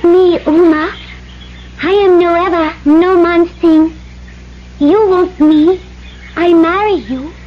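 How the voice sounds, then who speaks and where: A woman's voice: very high-pitched, slow pace, long pauses. An actress playing a young, proud Polynesian island woman in a radio drama, earnest, speaking short broken English. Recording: dull old radio recording, faint noise.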